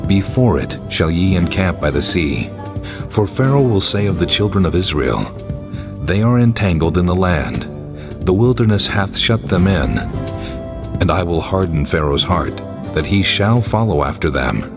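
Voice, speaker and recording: God in an audio drama, with a deep, commanding voice. Every line is a solemn pronouncement. Music plays clearly underneath. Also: male voice, lively intonation, normal speed. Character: God, commanding male divine voice. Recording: voice over music.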